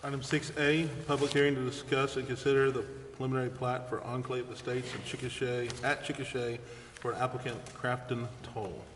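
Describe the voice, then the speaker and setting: A man's voice: mid-range voice, little pitch movement, brisk, hardly any pauses. Distant man chairing a formal meeting. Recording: reverberant council chamber, distant mic, faint noise.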